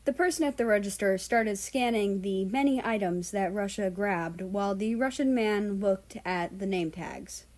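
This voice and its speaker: A woman reads a story aloud as narrator. Her pitch sits in the middle range for a woman, and she delivers the text as storytelling narration. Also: normal speed, hardly any pauses, darkish tone. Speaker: fan fiction reader.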